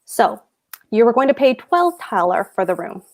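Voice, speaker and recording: A woman's voice: fairly high pitch, sing-song, normal speed. A woman playing a pompous, boastful German landlord and husband in a table read of a play. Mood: wheedling, suspicious. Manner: pompous, emphatic.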